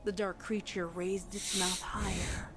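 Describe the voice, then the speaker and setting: A woman's voice: mid-range voice, level tone, unhurried, over a noisy crowd. A woman giving a scripted, dramatic narration of a story. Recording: loud music behind voice. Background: music.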